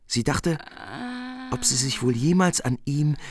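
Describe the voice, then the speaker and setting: A man narrating a medieval epic in a measured, grave manner. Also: fairly high pitch, sing-song, a crowd in the background.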